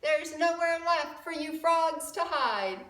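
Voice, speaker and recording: Proud, stubborn Egyptian king for a picture book, played by a woman: high, bright, emphatic, roomy sound. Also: takes it slowly. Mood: gleeful. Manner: emphatic, boastful storybook exclaiming. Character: proud, stubborn, blustering male Pharaoh of Egypt. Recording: roomy, from a distant mic.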